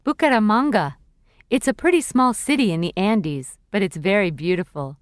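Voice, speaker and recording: An actress playing a cheerful young female university student in a scripted textbook dialogue, speaking clearly and expressively. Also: mid-range voice, lively intonation, moderate pace, no room sound, clean studio sound, close to an everyday voice. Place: recording studio.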